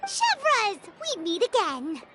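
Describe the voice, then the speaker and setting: A woman voicing a tiny, curious, childlike fairy companion in a video game, with a squeaky voice and bubbly, eager manner. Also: huge pitch swings, takes it slowly, very noisy, exaggerated voice. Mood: cheerful. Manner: bubbly, eager, speaks of self in third person.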